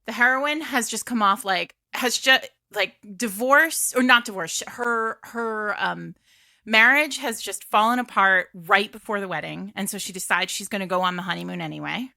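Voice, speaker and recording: A woman hosting a casual book chat, telling stories in an animated way with playful asides. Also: mid-range voice, normal speed, creaky, no echo, clean studio sound. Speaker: podcast host.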